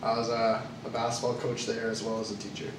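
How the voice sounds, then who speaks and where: A man's voice: medium pitch, level tone, quick pace. A young Canadian man playing a job candidate in a class role-play interview, answering with many hesitations. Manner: hesitant, with frequent uh and um fillers. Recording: echoey room, far from the mic, noisy.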